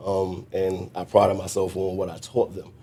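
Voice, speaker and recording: A man being interviewed as a mentor, talking in an earnest, reflective way with frequent restarts and a naturally loud voice. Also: low-pitched, monotone, moderate pace, husky. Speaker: guest mentor. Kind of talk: conversational interview.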